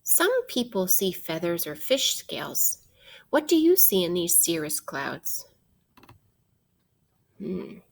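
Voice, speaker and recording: Slow-paced woman reading aloud to children. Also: lowish voice, wildly swooping pitch, vocal fry. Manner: deliberate, questioning, addresses young listeners directly. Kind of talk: interactive children's read-aloud of a science book.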